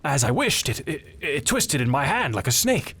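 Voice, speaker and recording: A man voicing an excitable, credulous old father with an eager, wavering manner. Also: on the high side, moderate pace, slightly rough, voice pushed up, full character voice. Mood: shaken. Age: older.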